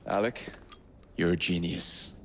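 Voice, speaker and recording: An actor playing a flippant young Victorian assassin with an English accent and a cheeky, sardonic manner. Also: male voice, deep voice, fairly even intonation, takes it slowly, a bit bright, slight background noise. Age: young adult. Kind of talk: video game voice acting.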